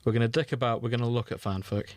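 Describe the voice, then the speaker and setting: A man's voice: mid-range voice, steady tone, rapid-fire, darkish tone, no room sound. Wry man in a dry recording. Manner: wry, teasing, sweary. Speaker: podcast host and editor. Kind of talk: casual banter.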